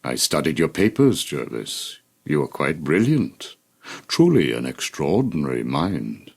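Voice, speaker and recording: Sinister psychiatrist, video game villain, bottomless gravelly voice, measured, formal, coldly manipulative, condescending. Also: male voice, rumbling low, big pitch swings, a little mellow, full character voice. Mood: probing, coaxing. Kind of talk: dramatic video game voice acting.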